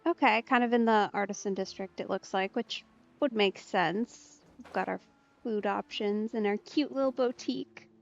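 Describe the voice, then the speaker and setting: A woman's voice: mid-range voice, moderate pace, creaky, muffled recording. A woman commentating casually on a game as she plays, chatty and reactive. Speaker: gaming host. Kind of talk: let's play commentary.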